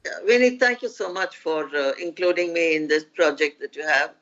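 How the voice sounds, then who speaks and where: Older woman, measured and unhurried. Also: lowish voice, animated, a bit bright, dull audio. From India. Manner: measured, with hesitant pauses. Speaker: guest economist. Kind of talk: reflective interview answer.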